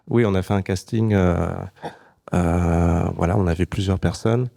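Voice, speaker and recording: A man, a film director, answering interview questions hesitantly with many filler pauses. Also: low voice, creaky, warm tone.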